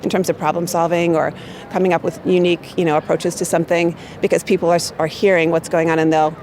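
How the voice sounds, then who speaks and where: Loud-voiced American woman, chatty. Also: lowish voice, level tone, fast. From United States. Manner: chatty, many fillers and restarts. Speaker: corporate marketing manager. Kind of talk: unscripted interview answer.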